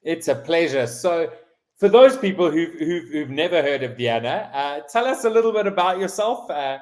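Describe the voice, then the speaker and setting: A man's voice: fairly high pitch, lively intonation, moderate pace. A man hosting a live online interview, reading aloud and putting questions to a guest. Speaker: show host.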